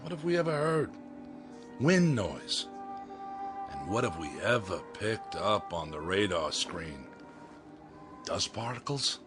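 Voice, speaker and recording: A man's voice: fairly low pitch, very animated, takes it slowly, long pauses, music in the background. A man acting in a radio drama as a bitter, defiant doubter, sarcastic and challenging.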